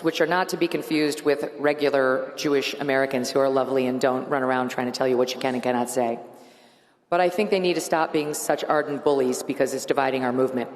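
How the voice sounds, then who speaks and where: Low-voiced woman, blunt, in a big hall. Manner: blunt, emphatic, quick to laugh. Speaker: show host, interview guest. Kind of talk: onstage conversation at a conference. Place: large conference hall stage with live audience. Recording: long hall reverb.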